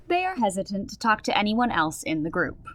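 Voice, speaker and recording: A woman playing an expressive game master, narrating a fantasy story in a scripted radio drama. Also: mid-range voice, fairly quick, no echo, lightly acted. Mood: storytelling.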